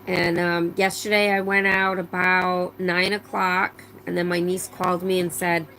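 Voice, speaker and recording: A woman's voice: on the low side, flat delivery, measured pace, sharp tone, slight background noise, poor audio. Chatty middle-aged woman hosting a live stream. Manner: chatty, rambling, many fillers and restarts. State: voice slightly strained after laryngitis. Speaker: livestream host. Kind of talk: casual live chat, reading out viewer comments.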